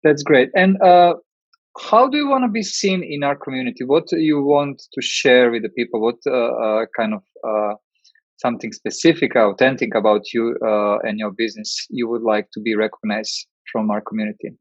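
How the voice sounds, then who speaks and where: A man hosting a conversational interview, speaking haltingly as he searches for his words. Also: medium pitch, no room sound, muffled recording. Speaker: interviewer, host.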